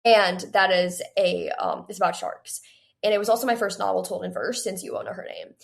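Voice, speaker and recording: A woman's voice: medium pitch, a little fast, vocal fry. An eighteen-year-old woman speaking as a guest in a casual interview, rambling with frequent "like" and bits of quoted self-talk. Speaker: guest author. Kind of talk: casual interview chat about writing.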